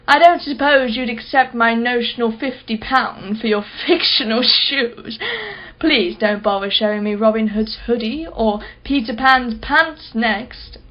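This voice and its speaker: A woman's voice: on the high side, a little slow, highs cut off, natural voice. A woman playing a wealthy, sarcastic customer in a radio play, with a wordy, theatrical way of talking. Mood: mocking.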